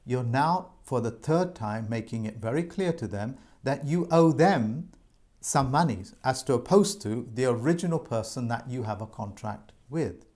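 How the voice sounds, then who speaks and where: A man's voice: medium pitch, expressive, normal speed, a little mellow. A man speaks straight to his viewers, calling them folks. His voice sits mid-height and moves a lot, and he keeps circling back to his points with earnest insistence. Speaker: presenter giving debt advice. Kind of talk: informal advice monologue to viewers.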